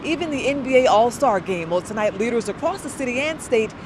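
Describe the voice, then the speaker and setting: Female, higher pitch, TV field reporter, scripted news delivery. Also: expressive, a little fast, background noise. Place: outside an arena in a city.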